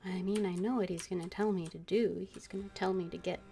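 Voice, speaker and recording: A woman's voice: lowish voice, steady tone, quick pace, gravelly, dark tone, soft background music. A woman game streamer giving casual commentary and voicing her dialogue choices aloud as she plays.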